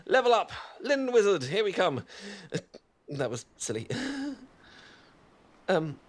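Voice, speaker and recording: A man's voice: high voice, very animated, unhurried, pitched above the performer's own voice, full character voice. Guy doing a sunburnt british dork in an asmr roleplay, stammers a lot, keeps putting himself down, nervous little laughs. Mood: flustered. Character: awkward sunburned young British man, sweet and flustered. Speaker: ASMR performer.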